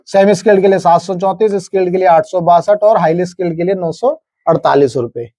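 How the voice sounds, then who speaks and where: A man's voice: high-pitched, a little fast, warm tone, no room sound. A man in his thirties with an Indian accent, explaining methodically like a teacher, reading out figures and checking in with the viewer. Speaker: presenter explaining government wage notices. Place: indoor studio, standing at a large digital screen.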